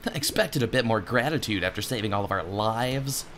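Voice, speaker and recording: A man's voice: lowish voice, expressive, brisk, fairly bright tone, slight background noise. A man voicing an earnest, cheeky young male stowaway hero in an adventure game. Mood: protesting.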